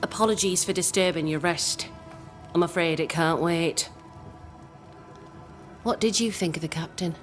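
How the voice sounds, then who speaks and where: Game role, actress: resolute female conspirator, lower register, formal and measured, strong music bed. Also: natural voice. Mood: grave, polite. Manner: formal, measured, deliberate phrasing. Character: composed, resolute woman plotting against a captain. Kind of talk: video game cutscene acting. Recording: prominent music underneath.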